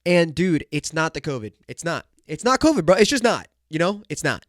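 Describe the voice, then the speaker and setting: A man's voice: fairly high pitch, sing-song, a little fast, no room sound, clean studio sound. A young man hosting a solo podcast who talks in a hyped, joking way, calling his listeners "bro" and "dude", and sounds nasal and congested from a cold. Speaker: podcast host. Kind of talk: casual solo podcast rant.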